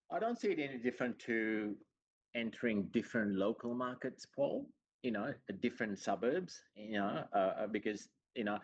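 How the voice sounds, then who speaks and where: A middle-aged man from Sri Lanka who has long lived in Australia, answering interview questions earnestly and conversationally over a video call. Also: lowish voice. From born in Sri Lanka, long resident in Australia. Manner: earnest, explanatory, frequent you-knows. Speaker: chief executive, interview guest. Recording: roomy, dull video-call audio.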